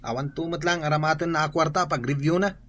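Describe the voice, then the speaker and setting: An actor plays a spoiled young Ilocano student in a radio drama. The voice whines and demands at a medium pitch. Light noise sits on the recording. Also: fairly even intonation, fast talker, a bit bright, natural voice. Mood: dismissive.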